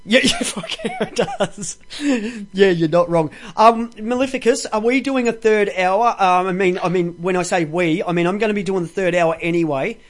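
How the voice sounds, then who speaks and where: Talkative, high-pitched male show host. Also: very animated, moderate pace. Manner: talkative, coarse-mouthed. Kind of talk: rambling casual live talk show.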